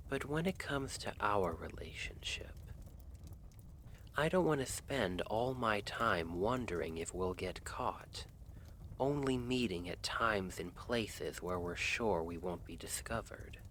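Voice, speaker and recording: A man playing a brooding, devoted assassin in an intimate ASMR roleplay, speaking softly and formally, often in a half whisper. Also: on the low side, a little hiss or hum. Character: lovestruck male assassin, brooding, earnest, devoted.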